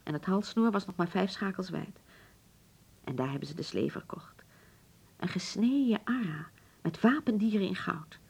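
An actress playing a nostalgic, genteel middle-aged hotel proprietress in a Dutch radio drama, speaking formally and with stately dramatic pauses. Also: female voice, on the low side, expressive, gravelly, darkish tone, slightly rough, full character voice. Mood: grave, dramatic.

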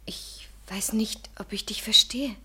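Breathy, fragile parting lover. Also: female voice, mid-range voice, unhurried, in a whisper, full character voice. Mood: hushed, uncertain. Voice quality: breathy, soft. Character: tender, fragile female lover bravely parting. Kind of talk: intimate classic German radio play acting.

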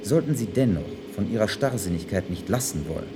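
An actor in a German radio play, playing a cold, menacing government official with a cutting, formal manner. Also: male voice, fairly low pitch, lively intonation, fairly quick, over music, lightly acted. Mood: stern, threatening. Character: cold, menacing Mexican government official running a secret plant.

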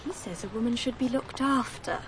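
An actress playing an anxious, practical young sweetheart in a radio drama, fretful and reproachful. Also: female voice, on the high side, fairly quick, slight background noise, lightly acted. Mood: hesitant.

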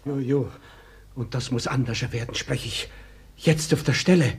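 Very raspy, defiant weaver. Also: male voice, medium pitch, normal speed. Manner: muttering agreement, repeating himself. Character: simple weaver, stirred up and defiant. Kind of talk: German radio drama acting.

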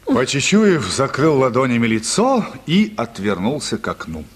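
A male narrator reads a story for a radio drama in a husky, middle-pitched voice. His delivery is animated and expressive, with a long reverberant tail around it. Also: moderate pace. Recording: reverberant studio hall sound.

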